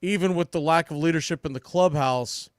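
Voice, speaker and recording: A man hosting a sports podcast, giving opinionated baseball commentary with emphatic, drawn-out stresses on key words. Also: a little high, no room sound, clean studio sound.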